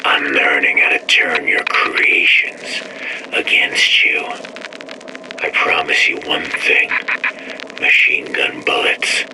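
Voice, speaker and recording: A man's voice: rumbling low, slow pace. An actor playing a bitter, vengeful man who sneers threats at a rival in a game audio log. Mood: menacing. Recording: audio log with steady background noise.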